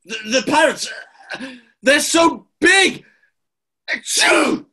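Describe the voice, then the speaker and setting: A man playing a pompous, gloating gangster boss with a gravelly voice in a radio play. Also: extremely high pitch, lively intonation, pushed and bright, full character voice. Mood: panicked. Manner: slow, grandiose, theatrical. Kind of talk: 1940s-style radio play acting.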